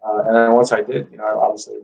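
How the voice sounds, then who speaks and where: A man's voice: lowish voice, level tone, rapid-fire, vocal fry, darkish tone, recorded from a distance, soft background music, rough recording. A man telling his story as a guest in a casual interview, speaking informally with lots of "you know". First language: English.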